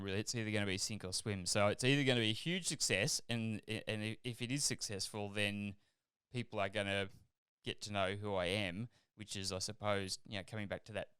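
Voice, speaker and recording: A man's voice: fairly low pitch, fairly bright tone, clean tone, dry recording, studio quality. A man hosting a casual interview, rambling and enthusiastic and laughing often. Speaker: podcast host.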